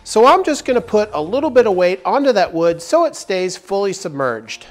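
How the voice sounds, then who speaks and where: A man in his forties talks through a woodworking project step by step, upbeat and clear. His pitch swings lively from line to line, with soft music playing faintly behind him in a big indoor workshop. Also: moderate pace. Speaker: woodworking channel host. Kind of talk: workshop tutorial narration. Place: large wooden workshop, indoors.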